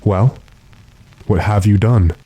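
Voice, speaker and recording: A very low, dark-toned voice of a male actor as a gothic mystery investigator in a radio play. He stays measured and authoritative, in a close, dry recording. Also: husky, no room sound, poor audio. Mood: calm questioning. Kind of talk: old-time radio drama acting.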